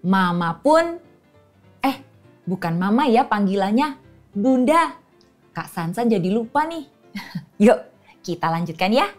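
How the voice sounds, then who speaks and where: A woman reads stories and riddles to young children, her pitch rising and falling with animated exclamations. She speaks slowly and clearly so little listeners can follow. Faint music plays behind her. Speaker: children's storyteller and host.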